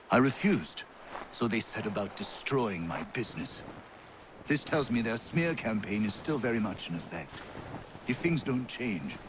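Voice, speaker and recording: An aggrieved village physician explaining himself out in a noisy yard in a colonial game drama, frank, earnest and articulate. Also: male voice, on the low side. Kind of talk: video game dramatic acting. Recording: loud ambient noise behind voice.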